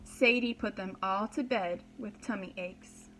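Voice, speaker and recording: A woman's voice: medium pitch, measured pace, fairly bright tone, a little hiss or hum, low-quality recording. A woman reading a children's story aloud as a preschool teacher, dramatically and expressively, with simple explanations for young listeners.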